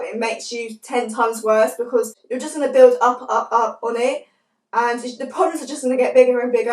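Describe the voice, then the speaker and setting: A young woman with a fairly high voice talks to camera, croaky and stuffed-up from a cold. She rambles softly, leaning on "like" as she goes. The sound is roomy and a little distant, as if the mic sits across her bedroom. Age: young adult. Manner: soft-spoken, rambling, lots of "like". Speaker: vlogger. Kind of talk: casual to-camera chat.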